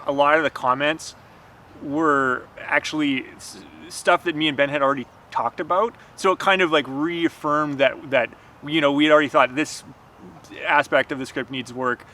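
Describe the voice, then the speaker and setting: A man with a slightly high, bright voice chats as a guest. He is agreeable and conversational, and faint city noise sits behind him. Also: normal speed. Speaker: guest co-writer. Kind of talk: casual chat.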